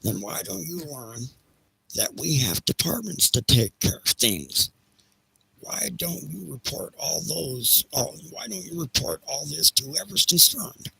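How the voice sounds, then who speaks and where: A man playing a pompous, irritable railroad company president with a raspy, gravelly voice and a haughty, scolding manner in an amateur audio play. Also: on the high side, expressive, measured pace, bright, forceful tone, slight background noise, full character voice, poor audio. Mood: haughty, irritated.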